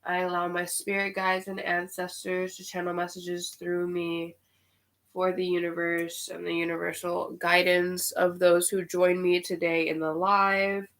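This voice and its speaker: A woman with a cold and a nasal voice, unhurried and full of "um" fillers, giving spiritual guidance and leading a meditation on a live stream. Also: on the low side, level tone, a bit bright. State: sick with a cold.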